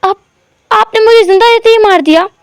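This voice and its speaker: A woman's voice: very high voice, normal speed, no echo, studio quality, pitched above the performer's own voice. A woman voicing a frantic, pleading young heir. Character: distraught young man, heir to a rich family.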